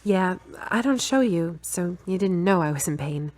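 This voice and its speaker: Female lead, audio drama: frank, stubborn girlfriend with a lowish voice, brisk and a little bossy acted by a woman. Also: lively intonation, a little mellow, lightly acted. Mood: frank, weary.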